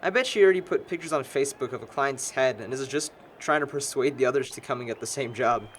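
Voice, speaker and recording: A man's voice: medium pitch, quick pace, a little hiss or hum, close to an everyday voice. A man playing a sarcastic, needling young man among longtime friends in an amateur audio drama.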